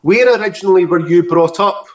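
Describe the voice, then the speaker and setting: A man's voice: high-pitched, fairly even intonation, fairly quick, reverberant, distant mic. A man hosting a music interview, asking friendly conversational questions. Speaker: talk show host.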